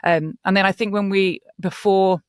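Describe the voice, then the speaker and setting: A man's voice: high voice, fairly even intonation, moderate pace, smooth, no echo, studio quality. A man talking enthusiastically as a producer in a panel interview. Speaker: audio drama producer.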